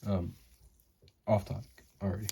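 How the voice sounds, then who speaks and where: A man's voice: low voice, flat delivery, moderate pace, creaky, noisy background. A man casually thinking aloud and rambling as he draws for his channel. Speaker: drawing channel host. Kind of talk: casual drawing commentary. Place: home room.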